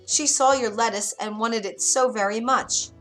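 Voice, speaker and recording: A woman's voice: a little high, moderate pace, a bit bright, faint music behind, pitched above the performer's own voice, lightly acted, low-quality recording. A woman voicing a frightened male carpenter who begs and pleads in a storybook. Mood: pleading. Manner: anxious, pleading.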